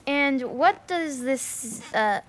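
An actress playing a plucky, curious young daughter with an eager, sarcastic edge in a family audio drama. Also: female voice, sits high, expressive, very slow, a little hiss or hum, full character voice.

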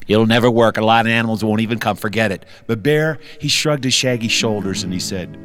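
A man telling a story live in a folksy, deliberate, playful way, with plucked guitar behind him. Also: lowish voice, a bit bright. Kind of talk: live musical storytelling for an audience. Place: studio with audience.

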